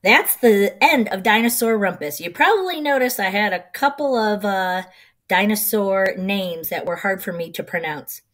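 A middle-aged woman reading a children's picture book aloud in an expressive, rhythmic, sing-song way. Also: medium pitch, moderate pace.

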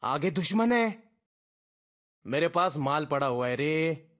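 A performer voicing an excitable teammate in a battle game, barking comic callouts with exaggerated, drawn-out delivery. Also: medium pitch. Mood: bossy, comic. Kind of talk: shouted in-game voice-pack callouts, Hindi slang.

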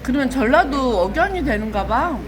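Woman with a Chungcheong accent, higher and very lively voice, heavy noise behind her at a meal table. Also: moderate pace. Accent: Chungcheong Korean. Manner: chatty, keeps asking questions. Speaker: broadcaster chatting at a family meal. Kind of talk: casual dinner-table chat. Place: noisy restaurant table. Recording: loud background noise.

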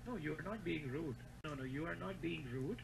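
A man's voice: on the high side, lively intonation, normal speed, gravelly, background noise. A man, speaking firmly and formally as a technical support agent on a phone call. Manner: firm, formal.

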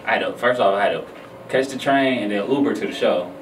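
An American man in his thirties from Detroit, a guest musician chatting casually in a radio interview, pausing between phrases. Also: fairly low pitch. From Detroit, United States. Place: small radio studio. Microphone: studio boom microphone. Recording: roomy, distant mic, faint noise.

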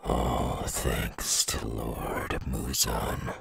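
Here is a menacing demon villain for an ASMR audio roleplay. His voice is extremely low, rasping with heavy fry and breath. He gloats and threatens at a slow pace, like a predator holding back his hunger. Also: little pitch movement, slight background noise, full character voice. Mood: hungry, gloating. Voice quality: very husky, gravelly, breathy.

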